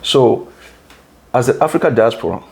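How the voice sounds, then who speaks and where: A man's voice: medium pitch, expressive, normal speed, vocal fry. A Ghana-born man hosting an interview, earnest, who restarts and repeats words as he talks and keeps affirming his guest. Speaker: interview host. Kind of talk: conversational interview.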